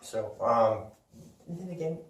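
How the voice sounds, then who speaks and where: A man's voice: mid-range voice, animated, measured pace, lo-fi sound. A man hosting a podcast, chatting casually about games and films in an enthusiastic, rambling, informal way. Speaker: podcast host. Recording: roomy echo, distant from microphone.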